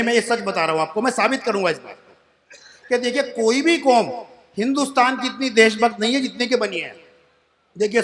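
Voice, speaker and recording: A man's voice: very high voice, fairly bright tone, a little hiss or hum. A man in his sixties, a comic poet performing at a poetry gathering, repeats each couplet line before the punchline and chats with the crowd as friends. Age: 60s. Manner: chatty, addresses the crowd as friends. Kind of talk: humorous poetry recitation and storytelling. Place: stage at a live poetry event.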